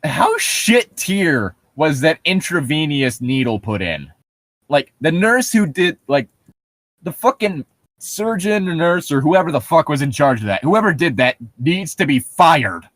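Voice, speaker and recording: A man's voice: on the high side, big pitch swings, measured pace, a bit bright, no echo. A man reading a horror story aloud for comedy, breaking off into sarcastic, profane, incredulous commentary.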